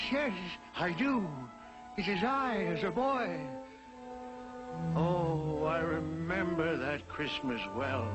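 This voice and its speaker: A remorseful old miser speaks slowly in a highish voice, theatrical and pleading. It is 1940s television drama, with loud music behind him on an old soundtrack. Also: male voice, rough recording.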